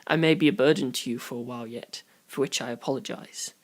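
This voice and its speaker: A reader voicing a polite, reserved young gentleman with a quiet, formal, old-fashioned manner. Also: medium pitch, quick pace, pitched below the performer's own voice, lightly acted. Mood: grateful, formal.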